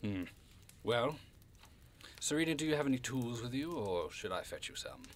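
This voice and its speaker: A man's voice: mid-range voice, animated, moderate pace, slight background noise, natural voice. A man playing a proud, refined old monster in an audio drama, speaking formally and with condescension. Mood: courteous. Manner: formal, condescending, stern when offended, savours words. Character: proud, refined old cryptid creature, macabre bone-carving artist.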